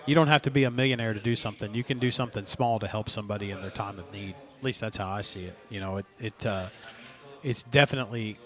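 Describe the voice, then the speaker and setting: A man hosting a podcast, chatting in a relaxed, easygoing way about his own experiences. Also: fairly low pitch, fast, crowd noise. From North Carolina. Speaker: podcast host. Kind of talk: casual conversation.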